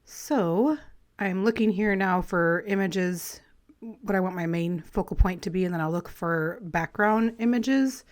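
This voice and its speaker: A woman with a slightly raspy voice, still clearing her throat after being ill, chatting casually and rambling as she talks through a crafting project. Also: on the low side, measured pace. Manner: relaxed, rambling, friendly, with light laughs. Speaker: crafting vlogger. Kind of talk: casual chatty voice-over while collaging.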